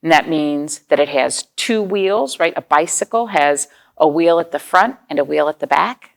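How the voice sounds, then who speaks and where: A woman's voice: low voice, lively intonation, moderate pace, vocal fry. A woman hosting a children's storytime, reading aloud, explaining things simply and asking the young listeners questions.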